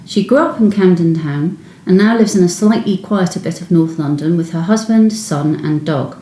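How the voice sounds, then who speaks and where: A woman's voice: fairly low pitch, normal speed, warm tone. A woman hosting a podcast, reading prepared introductions and putting questions to a guest. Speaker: podcast host and interviewer. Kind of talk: scripted introduction and interview questions. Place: indoors in a room at the guest's home. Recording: roomy echo, faint background noise.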